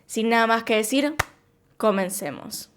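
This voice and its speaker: A woman's voice: on the high side, measured pace, over loud music. Woman narrating true crime. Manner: calm storytelling, addresses viewers directly. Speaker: true crime channel host.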